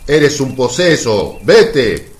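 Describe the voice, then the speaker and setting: Guy playing pompous hostile pharisee in a radio play, very animated pitch, accusing and scornful. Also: moderate pace, lightly acted. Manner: pompous, denouncing. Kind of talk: Spanish radio drama acting.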